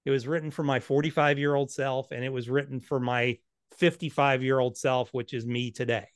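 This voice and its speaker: A man's voice: medium pitch, steady tone, brisk, smooth, dry recording, clean studio sound. Blunt man in his fifties. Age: 50s. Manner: blunt, candid, self-deprecating. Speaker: author and financial planner. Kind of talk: podcast interview answers.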